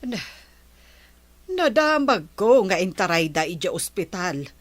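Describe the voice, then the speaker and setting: Slow, curious village neighbor. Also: female voice, fairly low pitch, big pitch swings. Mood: curious, sympathetic. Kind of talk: radio drama acting.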